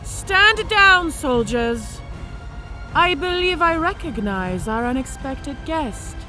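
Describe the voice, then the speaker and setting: An actress playing a calm female orc centurion and mage, gentle yet authoritative, in a fantasy audio drama. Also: sits high, takes it slowly, over music. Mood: calm, commanding.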